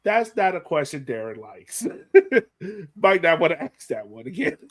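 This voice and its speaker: A man hosting a sports podcast, talking through a match in an animated, casual way and often checking his points with a quick "right?". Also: a little high. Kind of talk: casual match analysis chat.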